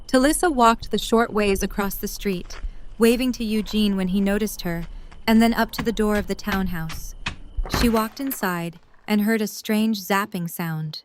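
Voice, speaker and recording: A woman's voice: mid-range voice, moderate pace, a little hiss or hum, rough recording. A woman reading scripted third-person narration for an audio drama.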